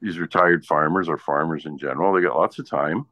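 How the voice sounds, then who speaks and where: A man's voice: rumbling low, brisk, fairly bright tone. A man with a Canadian accent chatting casually in blunt, profane, exasperated anecdotes. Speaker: radio presenter. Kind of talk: casual chat, ranting anecdotes. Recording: dull lo-fi audio in echoey room.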